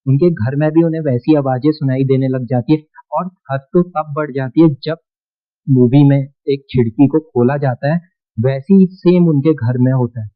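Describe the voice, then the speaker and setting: A man's voice: medium pitch, moderate pace. A man with an Indian accent hosting a countdown video in casual, explanatory narration. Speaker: video host. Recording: muffled, narrow-band sound.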